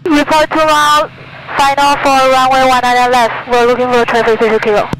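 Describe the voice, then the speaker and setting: Very high young woman on aircraft radio. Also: level tone, fairly quick. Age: young adult. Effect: aviation radio. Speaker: student pilot. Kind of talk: radio calls to air traffic control. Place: small airplane cockpit. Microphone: aviation headset. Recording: noisy aircraft radio and engine hum.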